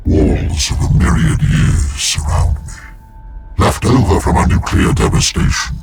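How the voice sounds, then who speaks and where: A man playing a grim, weary veteran space marine psyker in a video game, narrating solemnly in a breathy, gravelly voice. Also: a little slow, music in the background, close to an everyday voice. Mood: haunted, resolute. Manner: solemn, portentous. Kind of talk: dramatic scripted game narration.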